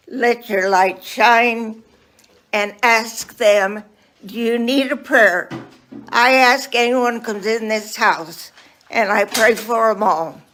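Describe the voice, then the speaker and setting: A ninety-year-old woman speaks slowly and haltingly, stopping to restart her phrases as she offers blessings. Her voice sits a little high for her age. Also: steady tone, soft background music. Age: 90s. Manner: slow, halting, warm blessings. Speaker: guest. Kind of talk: casual chat giving blessings.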